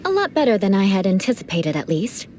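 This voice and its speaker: A woman's voice: mid-range voice, very animated, fairly quick, noisy background, close to an everyday voice. A woman voicing a proud, formal young noblewoman knight who mentors with dry, teasing remarks in a video game. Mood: cool. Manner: formal, haughty, dryly teasing. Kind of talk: game cutscene voice acting.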